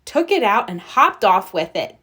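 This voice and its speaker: A woman reading a children's storybook aloud as narrator, with expressive storytelling. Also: medium pitch, normal speed, slightly rough. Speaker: storybook reader.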